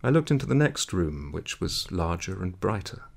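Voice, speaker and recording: A man reading a literary story aloud in a measured, dramatic way, with a gravelly, husky voice. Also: deep voice, very animated. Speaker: audiobook reader.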